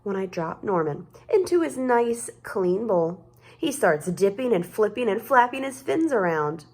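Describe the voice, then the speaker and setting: A woman narrates a picture book for children at a very unhurried pace. Her mid-pitched voice is expressive, and she draws out key words for dramatic emphasis. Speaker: storybook reader.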